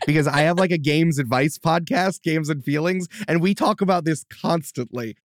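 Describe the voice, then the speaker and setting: A man, a game master and podcast guest, explaining with enthusiastic, quick asides in casual chat. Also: a little high, no room sound, studio quality.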